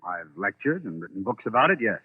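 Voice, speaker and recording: An actor playing a wry, easygoing author in 1940s radio style, with a dry, teasing, relaxed way of talking. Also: male voice, lowish voice, quick pace, husky. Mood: measured, curious. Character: wry, easygoing male author and occult lecturer. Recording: muffled, tinny old radio recording.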